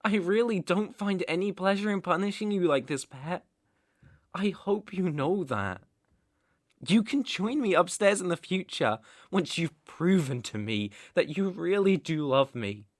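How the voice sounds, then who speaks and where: A man with a British accent playing a possessive, unstable mafia boss in an ASMR roleplay, brooding and deliberate, with menacing tenderness. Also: high-pitched, animated, no room sound, clean studio sound. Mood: soft, condescending. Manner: slow, deliberate, brooding, condescendingly affectionate. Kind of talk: ASMR roleplay, dramatic monologue.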